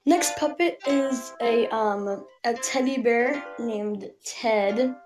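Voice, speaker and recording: A woman speaking casually and haltingly, as if presenting show-and-tell, searching for words. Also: a little high, rough recording. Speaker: puppeteer guest on the show. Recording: music behind the voice.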